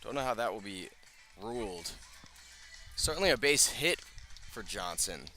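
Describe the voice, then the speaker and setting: A man's voice: lowish voice, very animated, measured pace, sharp tone, faint music behind. A man calling a baseball game live as a radio play-by-play announcer, speaking from field level at an outdoor ballpark.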